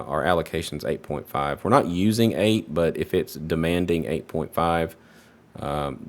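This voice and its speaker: A man casually talking through a game benchmark, relaxed and rambling with lots of fillers, his voice a little off while he gets over a virus. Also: deep voice. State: recovering from a virus, voice slightly off. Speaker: PC hardware benchmark creator. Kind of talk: casual gameplay benchmark commentary.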